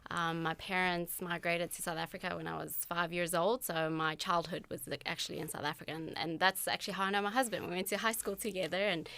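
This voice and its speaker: Guest, woman, 30s, from Argentina, bright crisp voice, dry close sound. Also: on the low side, flat delivery, a little fast, few pauses, dry recording. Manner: warm, easygoing, laughs often. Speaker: company co-founder and COO, guest. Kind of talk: interview answers, casual chat.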